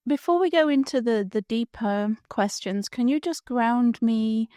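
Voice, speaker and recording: A woman's voice: a little high, a little slow, mellow, no echo, clean studio sound. A woman hosting a podcast, asking curious, friendly interview questions. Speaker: podcast host.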